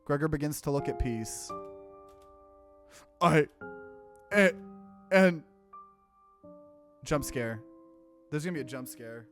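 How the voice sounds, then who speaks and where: A man's voice: mid-range voice, fairly quick, soft background music. A man hosting a let's play, reading visual novel narration aloud and adding casual commentary. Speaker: gaming let's play host.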